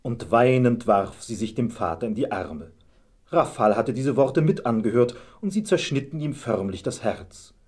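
A male narrator tells a scripted fairy tale. His voice is medium in pitch and noticeably husky. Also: animated, normal speed, a little mellow. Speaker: storyteller, narrator.

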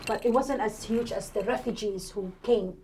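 A woman's voice: mid-range voice, moderate pace, dark tone. A woman in her forties with a Somali accent, answering interview questions conversationally as a political candidate. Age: 40s. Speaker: city council candidate, interview guest. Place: indoor TV studio at a desk. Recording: clear background noise.

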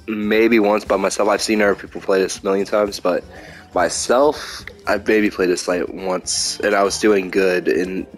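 A young man giving rambling, self-mocking commentary while playing a card game, now and then breaking into silly sing-song chants. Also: lowish voice. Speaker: gameplay commentator. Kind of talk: casual gameplay commentary.

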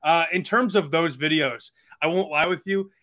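A man's voice: a little high, normal speed, a bit bright. A man of about twenty, chatting earnestly as a podcast guest over a video call with lots of fillers. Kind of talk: casual video call chat.

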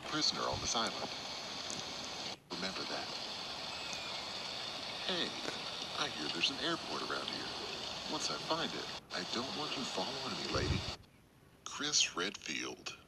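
An actor playing an aloof, cocky prisoner, a curt loner in a video game. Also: male voice, low-pitched, sing-song, a little slow, vocal fry, long pauses, full character voice. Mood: cold, dismissive. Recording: very noisy game clip.

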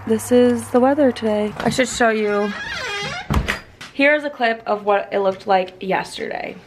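A woman in her twenties chatting casually as a vlogger at home, a bit hoarse from a sore throat, rambling with lots of "like.". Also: a little high, unhurried, background noise. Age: 20s. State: slightly hoarse, losing her voice. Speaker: lifestyle vlogger. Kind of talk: casual vlog chat. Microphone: handheld compact camera.

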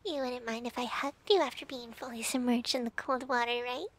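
Woman acting a high-pitched, teasing tsundere girlfriend. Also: fairly even intonation, brisk, fairly bright tone, slight background noise, close to an everyday voice. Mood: playful, coaxing. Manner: playful, pouty, teasing, often dropping to breathy murmurs. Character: flustered, teasing young tsundere girlfriend, bratty but affectionate. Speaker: ASMR roleplay performer. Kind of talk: intimate ASMR girlfriend roleplay.

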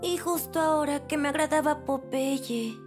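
An actor voicing a young, tender-hearted, easily scared boy in a Latin American Spanish children's cartoon, expressive and earnest. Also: high voice, moderate pace, natural voice. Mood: sad. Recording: music behind the voice.

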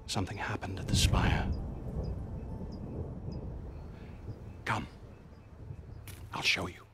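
An actor playing an earnest, troubled leader of a sun-worshipping realm in a video game, with formal, measured diction. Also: male voice, low-pitched, fairly even intonation, noisy background, lightly acted. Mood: grave, urgent. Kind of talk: video game cutscene acting.

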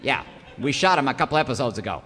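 An actor playing a scheming, bad-tempered outlaw villain in a parody of an old western radio serial, sly and blustering. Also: male voice, medium pitch, big pitch swings, fast talker, pushed and bright, big-room sound. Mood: conspiratorial.

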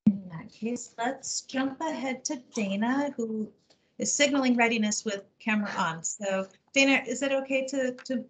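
A woman running an online meeting from her office, talking softly, with half-muttered asides. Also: a little high, moderate pace, rough recording. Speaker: meeting chair. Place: office, indoors. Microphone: video call webcam.

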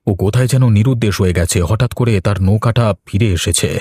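A man playing a timid, superstitious middle-aged village father in a horror cartoon, fond of his daughter and talking in a quick, fretful, homely way. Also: fairly low pitch, dark tone, husky, no echo. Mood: reluctant. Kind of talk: animated horror story acting.

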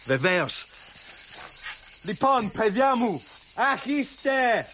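Charming high-voiced Greek foreman. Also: sing-song, drawn-out words, bright, forceful tone, dull audio, slight background noise, heavily acted. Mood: commanding. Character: engaging, courteous Greek Cretan foreman with charm. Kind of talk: radio drama acting.

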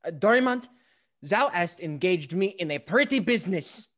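A woman's voice: fairly low pitch, expressive, normal speed. Vain, affected dandy, played by a woman. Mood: self-satisfied, airy. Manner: affected, florid. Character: vain, affected male fop, foolish dandy. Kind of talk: classical stage comedy acting. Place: indoors at home, on a video call.

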